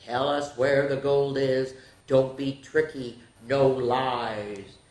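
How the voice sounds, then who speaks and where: A woman's voice: rumbling low, steady tone, slow, pitched below the performer's own voice. Woman as an urgent storybook girl, echoey. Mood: urgent warning. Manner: hushed, urgent storybook delivery. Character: earnest, bossy young girl hunting a leprechaun in a storybook. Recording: echoey, roomy sound.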